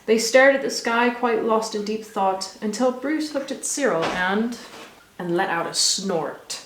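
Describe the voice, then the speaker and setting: A woman reading a rhyming children's story aloud with expressive, rhythmic delivery. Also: a little high, unhurried, noisy background. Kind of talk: scripted storybook narration for children. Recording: echoey room.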